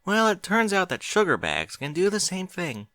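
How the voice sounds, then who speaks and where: A man's voice: high voice, huge pitch swings, moderate pace, pushed and bright, no echo, voice pushed up, exaggerated voice. A man voicing a fretful, bookish young pony mare, anxious and easily flustered. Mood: weary.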